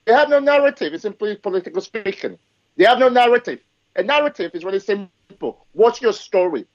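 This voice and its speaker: A man's voice: sits high, expressive, brisk, dry recording, lo-fi sound. Emphatic man speaking with an accent. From Africa. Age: 40s. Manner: emphatic, repetitive, rhetorical checks like okay and yes. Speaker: guest speaker. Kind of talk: live discussion.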